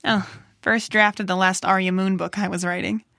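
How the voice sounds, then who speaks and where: A woman's voice: mid-range voice, flat delivery, moderate pace, gravelly, fairly bright tone, dry recording, clean studio sound. A woman voicing a moody, wry pony writer of detective stories in a noir-tinged audio drama. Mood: self-deprecating, weary. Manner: moody, wry, self-deprecating. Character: world-weary, self-doubting female pony detective-story writer. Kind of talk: noir narration and dramatic dialogue.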